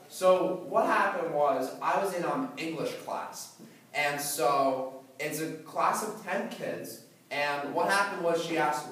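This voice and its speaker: A man's voice: a little high, distant mic. Teenage boy who stutters, echoey hall. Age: teens. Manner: stutters, with repeated words and blocks. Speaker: student speaker. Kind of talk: prepared talk to an audience.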